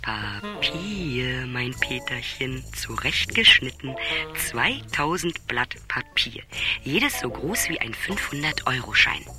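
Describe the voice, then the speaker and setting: A woman's voice: extremely low pitch, sing-song, music in the background, exaggerated voice. A woman playing a cool, bossy schemer in a radio crime drama, confident and teasingly condescending. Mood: patronising, patient. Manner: brisk, confident, teasingly patronising.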